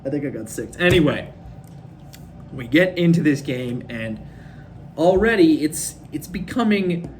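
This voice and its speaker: A young man from Minnesota hosting a casual fan recap, rambling and excitable, his voice a bit worn from screaming. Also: on the high side, a little slow, long pauses, slight background noise. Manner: rambling, excitable, lots of fillers. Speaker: fan podcast host. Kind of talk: casual solo recap of a hockey game.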